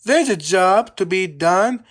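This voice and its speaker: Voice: mid-range voice, lively intonation, slow pace, pitched above the performer's own voice. A storybook narrator voicing a kind but firm young male tank engine, earnest and a little bossy. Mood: stern. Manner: earnest, bossy when stern.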